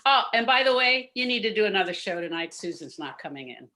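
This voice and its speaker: A woman's voice: medium pitch, big pitch swings, fast, sharp tone. A woman playing a scheming, businesslike show boss in a campy retro radio noir play. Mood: conspiratorial, brisk.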